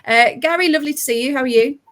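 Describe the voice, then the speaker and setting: Upbeat woman podcast host, casual chat, quick to laugh. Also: high voice, a bit bright. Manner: upbeat, laughs often, frequent ums.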